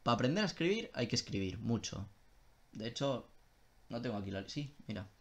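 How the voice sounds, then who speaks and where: A man's voice: medium pitch, big pitch swings, a little fast, fairly bright tone. A young man chatting casually on a live stream, answering viewer questions in a loose style with swearing and self-interruptions.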